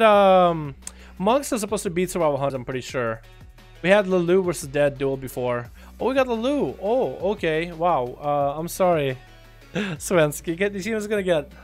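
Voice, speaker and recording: High-voiced man streaming game duels. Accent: American. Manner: excitable play-by-play, shouts at big moments. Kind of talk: livestream commentary and chat.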